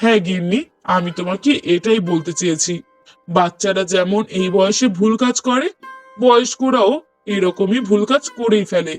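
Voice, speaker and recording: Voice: medium pitch, normal speed, faint music behind, lightly acted. A performer voicing a brooding, sarcastic husband and father in a moral-story cartoon, with pointed rhetorical questioning. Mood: reproachful.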